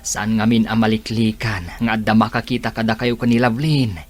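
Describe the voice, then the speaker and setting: A man's voice: on the low side, flat delivery, fairly quick, faint music behind, lightly acted. A man with an Ilocano accent acting a frank, cautioning friend in a radio drama.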